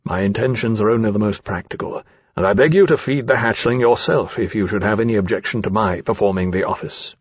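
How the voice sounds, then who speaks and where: A man's voice: fairly low pitch, slightly rough, no room sound, dull audio. A man playing a principled, humane officer who speaks formally and firmly in a period fantasy audiobook. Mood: placating, firm. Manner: formal, firm, measured.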